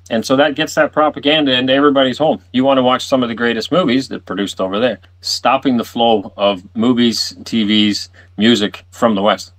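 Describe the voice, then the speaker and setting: A man's voice: medium pitch, a bit bright, rough recording. A man hosting a casual interview, talking in an emphatic, storytelling way.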